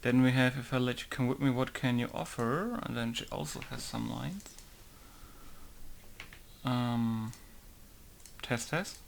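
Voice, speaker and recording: A man talks slowly through a game modding tutorial, mumbling his asides with low-key humor. His voice has a gravelly fry to it. He is recorded close on a desktop mic at his computer. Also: a little hiss or hum. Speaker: game modder. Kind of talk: casual screen-recorded tutorial commentary.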